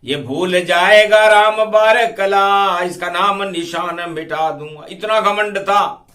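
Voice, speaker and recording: Male devotional preacher, high bright voice, unhurried delivery that moves between sung rhyming verse and spoken explanation. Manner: declamatory, drawn-out verse lines broken by quick asides.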